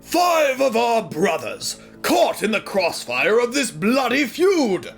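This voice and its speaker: Squeaky, high-pitched, ranting old truck. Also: male voice, sing-song, slow, creaky, soft background music, heavily acted. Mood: outraged. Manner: pompous, ranting speechifying. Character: angry old truck, indignant quarry rabble-rouser. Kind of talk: audio drama acting.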